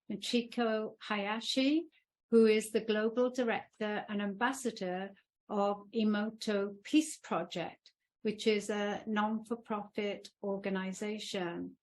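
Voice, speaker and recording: A woman's voice: mid-range voice, rough recording. A woman hosting a wellness interview podcast, introducing her guest with effusive praise. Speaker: podcast host.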